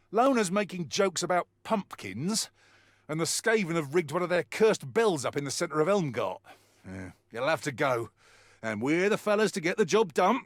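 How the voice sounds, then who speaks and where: An actor playing a wry, world-weary mercenary soldier in a video game, with a colloquial, grumbling delivery. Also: male voice, on the high side. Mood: rueful, game. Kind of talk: scripted in-character mission briefing monologue.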